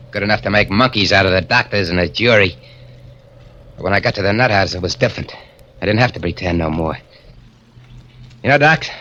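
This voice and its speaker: A man's voice: on the low side, pushed and bright. A man playing a hard-bitten male convict on the run, with streetwise slangy tough-guy talk, in 1940s radio acting on a dull lo-fi recording.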